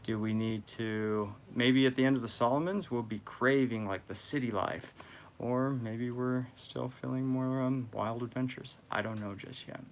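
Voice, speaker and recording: A man's voice: mid-range voice, normal speed, a little hiss or hum. A man hosting a sailing vlog update, thinking aloud about plans in a casual, musing way.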